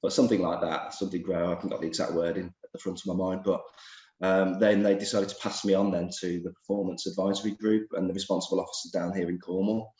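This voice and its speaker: A man with a hoarse voice from a cold, talking quickly and animatedly as a doctor interviewed on a show. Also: deep voice, flat delivery, darkish tone, reverberant, rough recording. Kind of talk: video call interview chat.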